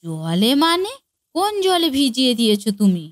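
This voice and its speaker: A high-pitched, exasperated mother-in-law acted by a woman in a comic cartoon. She is middle-aged and given to scolding, and the recording is close and dry. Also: sing-song, moderate pace, dry recording. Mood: impatient.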